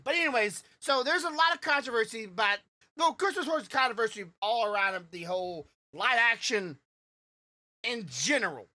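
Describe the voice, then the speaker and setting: A woman's voice: on the high side, lively intonation, moderate pace, pushed and bright. A woman co-hosting a podcast in casual chat, rambling with restarts and fillers. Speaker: podcast co-host.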